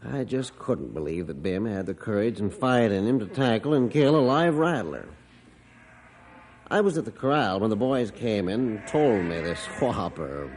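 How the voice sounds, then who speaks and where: A man playing a stern but soft-hearted rancher father who also narrates in a folksy old radio drama. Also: fairly low pitch, sing-song, moderate pace. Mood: reflective. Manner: folksy, homespun storytelling. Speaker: narrator of the story. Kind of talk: 1950s radio drama acting.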